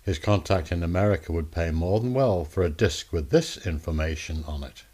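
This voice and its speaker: A man narrating a scripted radio drama in a theatrical, deliberate manner. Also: low voice, lively intonation, darkish tone, husky.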